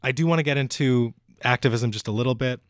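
A man hosting an interview, speaking casually and warmly affirming his guest, with frequent fillers. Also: mid-range voice, quick pace, no room sound, studio quality. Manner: friendly, with many fillers and affirmations. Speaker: podcast host.

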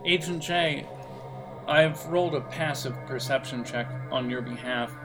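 A man narrating an audio role-playing game as game master, halting as he thinks aloud. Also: mid-range voice, over music.

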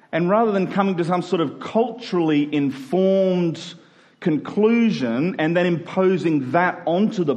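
An Australian man preaching a sermon as a church pastor, earnest and emphatic with deliberate phrasing. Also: on the high side, darkish tone.

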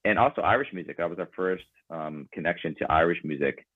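A man's voice: deep voice, fast, no room sound. A man whose first language is Ukrainian, talking casually as an interview guest about his band, thoughtful and rambling with fillers. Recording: tinny phone-like line.

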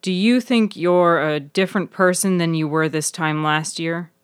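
Woman reading as Tripp, a low-key brooding man. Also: normal speed, clear, resonant tone, no echo, studio quality, close to an everyday voice. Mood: serious.

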